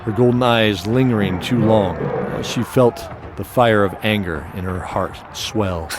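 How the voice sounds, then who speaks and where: Male narrator for fantasy audio drama: low pitch, dramatic descriptive read, music bed. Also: unhurried. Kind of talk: scripted fantasy story narration.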